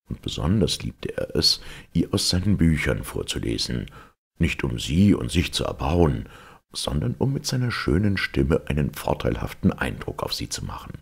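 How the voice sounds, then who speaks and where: Male audiobook narrator for old legends: extremely low, husky, measured and dramatic. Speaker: storyteller.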